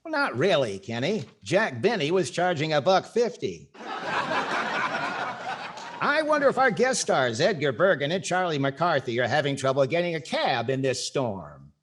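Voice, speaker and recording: A man's voice: a little high, big pitch swings, normal speed. An older man playing a wry, wisecracking comedy host in a 1940s radio style. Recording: clear background noise and clicks.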